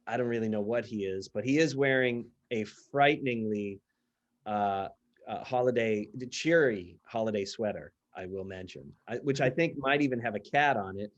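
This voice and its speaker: A man's voice: lowish voice, normal speed, muffled recording. A man hosting a casual interview, speaking in a jokey, tongue-in-cheek, teasing way. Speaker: podcast interviewer and host.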